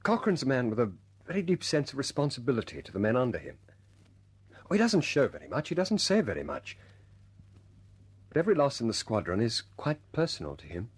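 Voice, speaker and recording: An actor playing an earnest, good-humoured RAF squadron leader in a vintage radio drama. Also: male voice, mid-range voice, wildly swooping pitch, fairly quick, breathy edge. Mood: confiding, wry. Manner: hesitant stammers when pressing a point, otherwise commanding.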